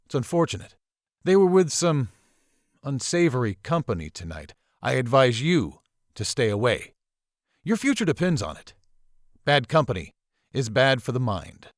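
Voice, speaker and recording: A man acting a polite, probing, subtly ominous college professor in a visual novel, speaking in a measured, formal, theatrical way. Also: mid-range voice, very animated, dry recording, clean studio sound, lightly acted. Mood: grave warning. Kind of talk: visual novel character dialogue.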